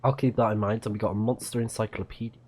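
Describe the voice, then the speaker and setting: A man's voice: fairly low pitch, steady tone, quick pace, mellow, slight background noise. A man doing casual game commentary, musing to himself and reading game text aloud. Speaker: let's play streamer.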